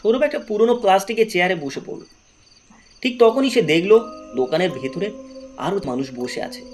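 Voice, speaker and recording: A man's voice: high voice, expressive, brisk, faint music behind. A man narrating a horror story in a dramatic, scripted storytelling style.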